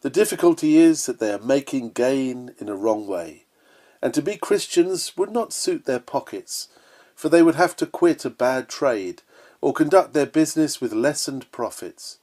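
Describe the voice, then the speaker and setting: A man's voice: medium pitch, animated, moderate pace, warm tone. Earnest man reading an old sermon. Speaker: sermon reader. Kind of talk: read-aloud Victorian sermon, scripted narration.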